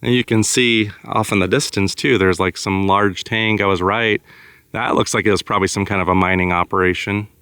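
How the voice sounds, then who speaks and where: A man with a raspy voice vlogging casually outdoors in the desert, thinking aloud as he goes in and out of his truck. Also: on the low side, fairly even intonation, fairly quick, bright, forceful tone, no echo. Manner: thinking aloud, rambling with fillers. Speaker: travel vlogger. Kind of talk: casual travel vlog.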